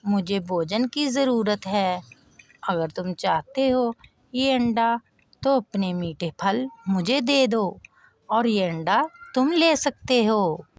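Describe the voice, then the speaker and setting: A woman voicing a scheming, self-serving female crow in a children's cartoon, with a deliberate delivery. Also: mid-range voice, animated, no room sound. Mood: sly bargaining. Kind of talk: Hindi children's moral cartoon acting.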